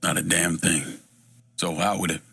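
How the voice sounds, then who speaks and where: An actor playing a tough, world-weary war veteran turned gangster in a video game, terse and husky, with an accent from the American South. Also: male voice, low-pitched, steady tone, normal speed, pushed and bright, full character voice. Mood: somber. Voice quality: gravelly, husky. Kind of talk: video game cutscene acting.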